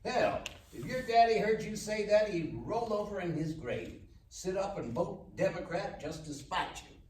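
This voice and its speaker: A man's voice: sits high, expressive, moderate pace, darkish tone. Man playing blunt, swooping small-town sheriff, echoey room. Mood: defiant, scornful. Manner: blunt, authoritarian. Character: proud, menacing, unrepentant small-town sheriff, older man. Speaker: actor at a live table read. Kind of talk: live table read screenplay acting. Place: live festival table read in a room. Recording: echoey, distant room mic with faint noise.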